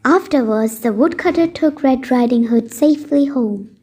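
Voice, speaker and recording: A woman's voice: high-pitched, moderate pace, a little mellow, husky. A woman storyteller reading a scripted bedtime story for children.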